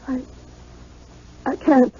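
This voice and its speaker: An actress playing a high-strung, melancholy young wife in a radio drama, nervous, hesitant and plaintive. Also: female voice, very slow, mellow. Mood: despondent. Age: young adult. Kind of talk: 1970s radio drama acting. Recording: muffled, hissy 1970s radio recording.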